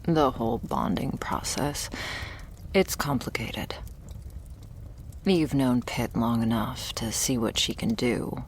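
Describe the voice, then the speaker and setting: A woman playing a guarded, sardonic young vampire's servant in an intimate ASMR roleplay, speaking softly and dryly, often near a whisper. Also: slow pace, pauses often, slight background noise. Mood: hushed, explaining. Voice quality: low, soft voice often dropping into whisper. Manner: dry, hesitant, foul-mouthed, sighing delivery. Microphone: close ASMR microphone.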